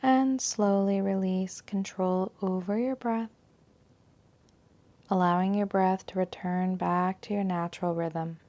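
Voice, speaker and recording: A woman teaching a guided yoga nidra meditation in a soothing, unhurried, gentle manner, leaving long pauses between phrases. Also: mid-range voice, steady tone.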